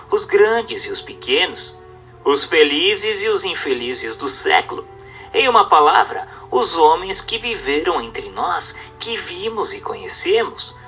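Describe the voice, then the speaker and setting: A man's voice: a little high, bright, forceful tone. A Brazilian man reading a scripted spiritual text in a formal, instructive manner. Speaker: narrator. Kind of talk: scripted narration of a spiritist book.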